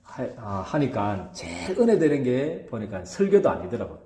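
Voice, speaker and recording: A pastor preaching in a lively, rising-and-falling voice with a warm, mellow tone, speaking in a regional dialect. He keeps turning to the congregation to ask "right?" and fills the gaps with hesitations. The sound carries an echo from the church room. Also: mid-range voice, moderate pace, slight background noise. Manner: emphatic, checks in with the congregation by asking "right?"; many hesitation fillers between phrases. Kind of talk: sermon.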